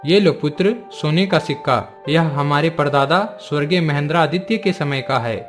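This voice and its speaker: Man as forgiving cartoon king, fatherly, patient, formal, somewhat high pitch, music behind. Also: moderate pace, close to an everyday voice. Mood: respectful. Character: gentle forgiving exiled king, husband and father. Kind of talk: Hindi cartoon moral-story acting.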